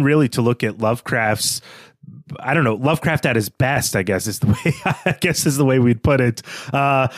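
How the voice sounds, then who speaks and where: A man's voice: mid-range voice, no room sound. Enthusiastic man co-hosting, dry studio-like sound. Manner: enthusiastic, informal. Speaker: podcast co-host. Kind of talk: casual literary discussion.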